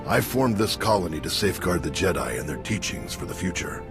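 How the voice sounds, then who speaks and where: An actor playing a solemn, scholarly Jedi master who leads a colony, formal and deliberate, in a video game. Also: male voice, deep voice, very animated. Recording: background music under the voice.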